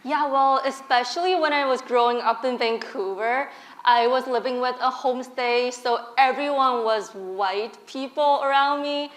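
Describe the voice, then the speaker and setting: A woman from Korea shares her life story in a stage interview, earnest and open, with a gentle laugh now and then. Her voice sits a little high, and the echo of a roomy hall rings around it. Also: measured pace. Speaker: guest speaker.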